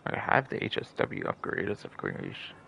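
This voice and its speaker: A man's voice: low voice, huge pitch swings, normal speed, gravelly. A man live-streaming a game, grumbling and swearing casually, often repeating himself as he mutters along. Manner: foul-mouthed, grumbling. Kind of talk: casual live gameplay commentary. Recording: loud noise behind the voice.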